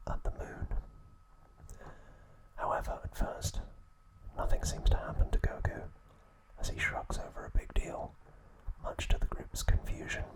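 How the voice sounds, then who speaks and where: Man whispering softly in ASMR style. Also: low-quality recording. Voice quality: soft unvoiced whisper. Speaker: ASMR host. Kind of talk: whispered ASMR narration of a story recap.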